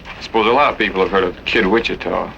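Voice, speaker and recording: Casting: a wry frontier witness, lowish voice, sardonic, roomy and muffled vintage radio sound. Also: male voice, quick pace, gravelly, a little hiss or hum. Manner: dry, sardonic. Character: wry, evasive husband refusing to testify. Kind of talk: old time radio western acting. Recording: muffled, echoing old radio recording.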